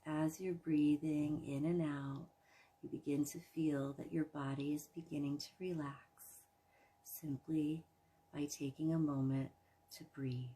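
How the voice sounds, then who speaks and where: A woman leading a guided imagery relaxation in a soothing, gentle manner, leaving long pauses between phrases. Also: sits low, flat delivery, husky, rough recording. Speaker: guided meditation host.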